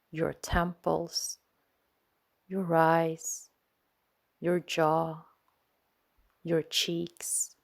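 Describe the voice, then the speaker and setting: A woman leading a guided sleep meditation in a soothing, gentle manner, speaking in short phrases with unhurried pauses. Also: fairly low pitch.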